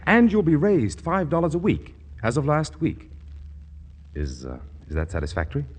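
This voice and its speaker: A man playing a brisk, self-important boss in a 1940s radio comedy, pompous and businesslike. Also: a little high, sing-song, a little mellow, husky. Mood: brisk, magnanimous.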